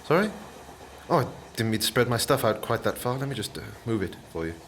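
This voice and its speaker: Nervous male passenger, radio drama, hesitant, apologetic, chatty, lively pitch, background noise. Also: fast talker. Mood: nervous chattiness. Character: nervous, chatty, polite male aspiring novelist on train. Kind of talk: naturalistic radio play acting.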